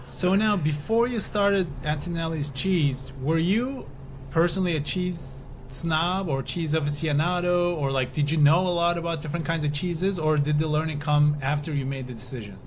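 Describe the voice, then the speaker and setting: A man's voice: on the high side, moderate pace. A man from Turkey hosting an interview podcast, chatting casually with his guest. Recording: clearly noisy background.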